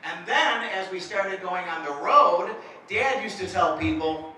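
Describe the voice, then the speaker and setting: A man's voice: high-pitched, a little slow, bright, forceful tone, slight background noise. Joking self-mocking man in echoey hall. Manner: self-deprecating jokes, slight stutter. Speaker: motivational speaker. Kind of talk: comedic stage presentation. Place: presentation hall. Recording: echoey hall, mic from far off.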